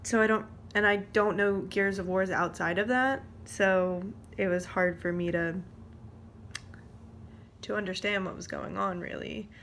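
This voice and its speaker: A young woman talks casually to her vlog viewers at an unhurried pace. Her pitch sits in the middle for a woman, and she is informal and hesitant, letting thoughts trail off. There is some background noise in the recording. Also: fairly even intonation, a bit bright. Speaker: vlogger.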